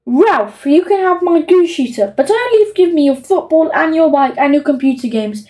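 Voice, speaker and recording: A woman's voice: high voice, expressive, normal speed, warm tone, distant mic, voice pushed up. A woman voicing a greedy, bossy young boy in a storybook, gleefully insulting and emphatic. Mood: scornful. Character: bossy, greedy, scheming young boy dictating his will.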